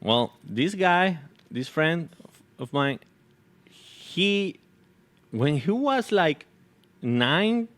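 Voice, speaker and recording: A man from Venezuela, a Spanish speaker co-hosting a podcast in casual, halting talk, repeating phrases as he searches for words. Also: on the high side, sing-song, fairly bright tone. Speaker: podcast co-host. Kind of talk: casual anecdotal chat.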